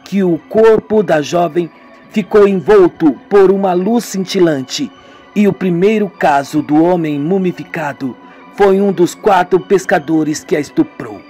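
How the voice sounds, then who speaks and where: A serious young man, read by a man who speaks gravely and judges sternly. The voice is fairly high for a man. Faint music plays beneath. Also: unhurried, dark tone. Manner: grave, judging.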